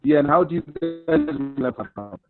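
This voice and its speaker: A man with a Kenyan accent hosting a video call interview, lively as he directs the participants and reads out viewers' questions. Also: fairly high pitch, moderate pace, music in the background. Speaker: host. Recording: tinny phone-like, dry call audio.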